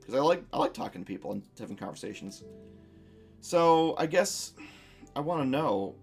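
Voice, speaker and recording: A young man talks casually on a stream in a masculine voice that sits at an ordinary middle pitch. He muses aloud, wandering through thoughts with restarts and fillers. Faint music plays behind him. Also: expressive, moderate pace, vocal fry, slightly rough. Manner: rambling, reflective, many restarts and fillers.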